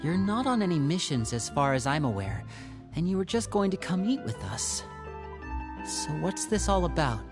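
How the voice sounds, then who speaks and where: A woman's voice: deep voice, very animated, normal speed. A woman playing a confident, shrewd, protective young man, an older brother, in a video game. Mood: probing, concerned. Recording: music behind the voice.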